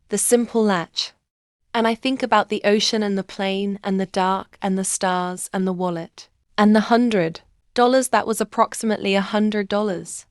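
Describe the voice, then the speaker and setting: A woman reading a first-person bedtime story aloud in a slow, gentle, reflective way. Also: medium pitch, no echo, clean studio sound. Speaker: narrator. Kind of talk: scripted first-person sleep story narration.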